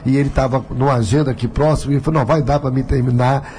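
A man's voice: mid-range voice, fairly even intonation, very fast, a little mellow. A man with a Carioca accent hosting a radio talk show as a pastor, talkative and full of tag questions. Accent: Carioca Brazilian Portuguese. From Rio de Janeiro, Brazil.

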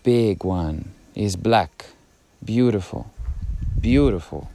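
A man's voice: on the low side, vocal fry, a little hiss or hum. Italian-accented man musing on a mountain walk. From Italy. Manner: musing, halting, drifts between hushed awe and excited chatter. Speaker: podcast host. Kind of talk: casual walking monologue. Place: outdoors on a mountain trail.

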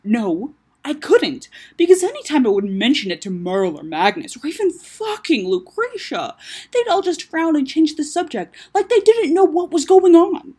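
A woman's voice: high voice, sing-song, moderate pace, few pauses, darkish tone, slightly rough, pitched above the performer's own voice, full character voice. A woman voicing an estranged adult sister, defensive, hesitant and teasing, in a story reading.

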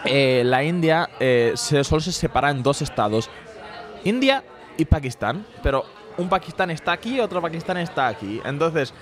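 A man's voice: a little high, big pitch swings, moderate pace. A man from Bangladesh, a non-native speaker whose first language is Bengali, patiently explaining history as a guest in a casual interview. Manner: patient and explanatory, with restarts and fillers. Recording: crowd noise behind.

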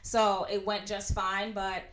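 A young woman in her twenties, a prosecutor, talking loudly and outspokenly in a casual interview. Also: mid-range voice, little pitch movement, slow pace, a little hiss or hum. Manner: loud, outspoken. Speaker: guest, prosecutor. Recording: roomy echo.

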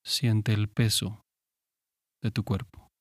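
Low-voiced man guiding a meditation. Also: no echo, studio quality. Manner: calm, gentle, unhurried with pauses. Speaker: meditation guide. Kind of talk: guided meditation.

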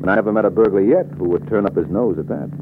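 An actor playing a wry, self-assured private detective with an American accent, glib and slangy in an old radio drama. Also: male voice, deep voice, slight background noise, close to an everyday voice. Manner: glib, slangy, unhurried reasoning. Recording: muffled narrow-band old radio recording.

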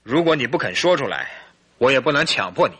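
An actor playing a suspicious, anguished husband in a radio drama, with a husky, earnest and theatrical delivery. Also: male voice, medium pitch, big pitch swings, fast talker, a bit bright. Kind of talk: Mandarin radio drama acting and storytelling.

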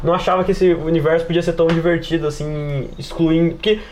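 A man's voice: a little high, steady tone, fast, a little mellow. A Brazilian man chatting casually as a podcast panelist, rambling and using plenty of slang. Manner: rambling, peppered with slang and filler tags. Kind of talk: casual chat about tabletop role-playing. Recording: clear background noise.

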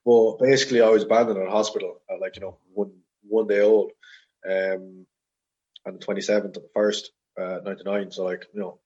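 A somewhat dull, lo-fi recording of an Irish man in his twenties. His voice is a little low and slightly husky, and he rambles along informally like a relaxed podcast guest. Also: brisk. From Ireland. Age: 20s. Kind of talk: casual personal chat.

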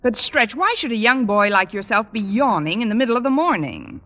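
A wisecracking schoolteacher. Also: female voice, on the high side, big pitch swings, brisk, muffled recording. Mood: wry. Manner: dry, wisecracking. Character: witty wisecracking female high school teacher. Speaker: lead and narrator. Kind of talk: 1940s radio sitcom acting. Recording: noisy old radio broadcast.

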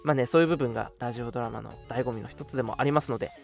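A man's voice: medium pitch, very fast, vocal fry, soft background music. A man hosting a casual radio talk show, making announcements in polite phrasing mixed with friendly banter. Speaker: radio show host and scriptwriter.

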